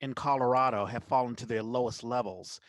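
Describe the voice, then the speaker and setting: A man hosting a podcast, chatting casually about the news in a conversational, somewhat halting way. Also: medium pitch, fairly even intonation, dry recording. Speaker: podcast host.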